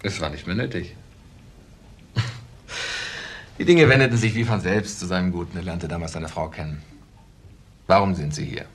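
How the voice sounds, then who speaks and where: Suave ex-convict, echoey low voice. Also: male voice, moderate pace, pauses often. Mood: guarded, amused. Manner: courteous, smooth-talking. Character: suave, slippery male ex-convict acting respectable. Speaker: actor in a crime drama. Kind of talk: German TV crime drama dialogue. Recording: echoey room, faint background noise.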